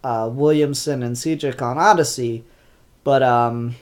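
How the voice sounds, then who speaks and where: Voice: mid-range voice, measured pace. A comic book reviewer with a stuffed-up, congested voice, talking through new issues in an offhand way with lots of ums and restarts. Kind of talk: casual spoken comic review.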